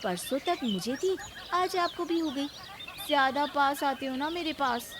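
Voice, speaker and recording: A woman voicing a nagging, cheeky young daughter-in-law in a cartoon story, pleading and insistent, quick to talk back. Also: sits high, animated, background noise. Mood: mock concern. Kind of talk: acted children's cartoon story.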